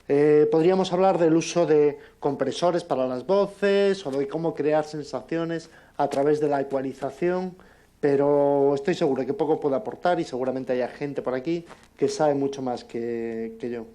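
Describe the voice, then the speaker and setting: A man with an accent from Spain giving an informal conference talk in a halting, conversational way. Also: a little high, warm tone. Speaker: conference speaker, amateur audio drama producer.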